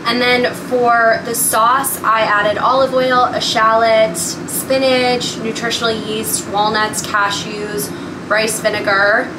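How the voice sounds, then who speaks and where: A woman's voice: on the high side, flat delivery, slow pace. Twenties girl rambling on her vlog, lots of likes, kitchen echo, kinda noisy. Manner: chatty, many fillers like "like". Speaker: vlogger. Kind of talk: casual vlog chat. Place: small home kitchen, indoors. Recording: echoey room, noisy, mic at a distance.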